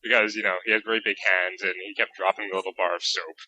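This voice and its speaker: A man guesting on a podcast, chatting casually and joking, quick and stumbling at the start of his sentences. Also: sits low, fairly even intonation, pushed and bright, no echo, rough recording.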